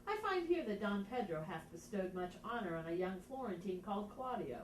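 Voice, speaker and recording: A woman's voice: medium pitch, very animated, brisk, lightly acted. A woman acting an older head of household in Shakespearean stage dialogue, advising and questioning. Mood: measured, teasing. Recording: roomy, distant, faint noise.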